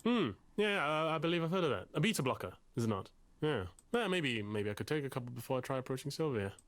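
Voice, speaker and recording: A man's voice: mid-range voice, very animated, fast talker, natural voice. An actor playing a nervous, self-pitying lonely man in a visual novel, speaking formally and hesitantly with fussy, wordy phrasing.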